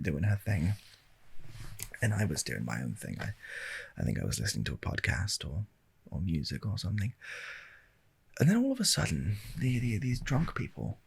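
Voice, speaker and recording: Give a soft-spoken British man telling a casual story for ASMR, close to the mic. His low voice is very breathy, with lively rises and falls in pitch. He is hesitant and wry, rambling along in an understated way. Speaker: ASMR video creator. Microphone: handheld stereo recorder, close up.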